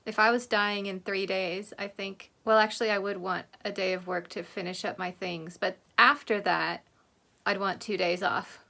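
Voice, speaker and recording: A woman's voice: mid-range voice, fairly even intonation, moderate pace, gravelly, sharp tone. A woman with an American accent giving casual commentary as host of a reaction video. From Oregon, United States.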